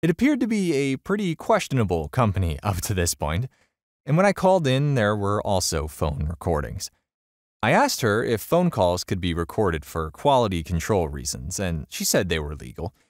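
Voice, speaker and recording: Deep-voiced man narrating a story. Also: normal speed, no room sound, clean studio sound. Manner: animated storytelling with wide pitch swings. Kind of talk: reading a first-person online story aloud.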